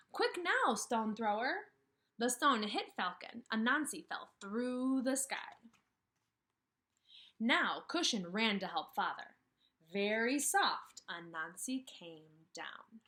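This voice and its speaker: Animated, bright-voiced woman teaching young children. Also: fairly high pitch. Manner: animated, asks questions and pauses for answers, slow emphatic phrasing. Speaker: kindergarten teacher. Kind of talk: read-aloud lesson addressed to young children. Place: small indoor room with brick wall.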